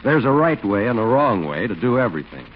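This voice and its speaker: Animated 1940s male radio announcer. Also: on the low side, fast talker. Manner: polished, emphatic salesmanship. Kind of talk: 1940s scripted announcing and sponsor reading. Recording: muffled 1940s radio recording with hiss.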